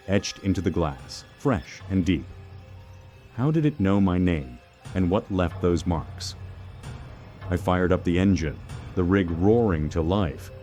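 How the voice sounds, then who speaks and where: A man narrating a scripted first-person horror story in a measured, dramatic, suspenseful manner. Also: deep voice, dark tone. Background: background music.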